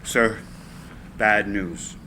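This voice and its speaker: A man's voice: fairly low pitch, steady tone, drawn-out words, bright, forceful tone, a little hiss or hum, full character voice. An actor playing a deferential male employee who speaks hesitantly and apologetically in a script reading. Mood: apologetic. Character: deferential, regretful male employee bearing bad news. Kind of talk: live screenplay reading.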